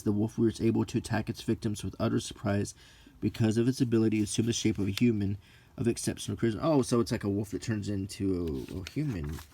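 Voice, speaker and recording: A middle-aged man hosting a casual, ASMR-like show-and-tell, reading out names in a halting, list-like way with brief musing asides. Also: fairly low pitch, mellow, breathy edge. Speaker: video host.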